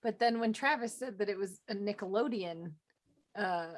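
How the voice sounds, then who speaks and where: A woman's voice: mid-range voice, moderate pace. A woman chatting informally as a panelist on a fan talk show. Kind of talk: casual chat.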